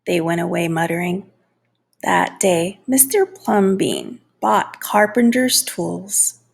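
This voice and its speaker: A woman reading a children's story aloud expressively and deliberately, lingering on key words. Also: fairly low pitch, expressive, creaky, slightly rough. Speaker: storybook reader.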